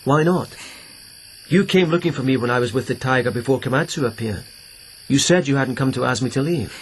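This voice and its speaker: A man's voice: mid-range voice, fairly quick, a little hiss or hum, natural voice, poor audio. Yukinari, a man playing a probing, persistent officer. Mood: insistent. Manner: probing, insistent, dryly teasing. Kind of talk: radio drama acting.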